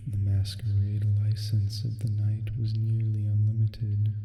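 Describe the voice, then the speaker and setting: Man voicing a dark, slow, reverberant demon storyteller. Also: on the low side. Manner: slow, deliberate, solemn. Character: calm, sinister male sleep paralysis demon reading bedtime horror. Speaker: ASMR storyteller. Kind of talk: slow ASMR reading of gothic horror. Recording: echoey long reverb. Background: music.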